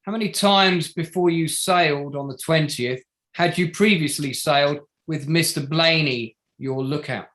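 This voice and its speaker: A man acting a barrister cross-examining a witness in a courtroom drama. He is formal, deliberate and pointed, in a fairly high male voice, steering with leading questions. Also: a bit bright. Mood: formal, methodical. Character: pointed, methodical male barrister for bereaved families. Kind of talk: courtroom drama table read.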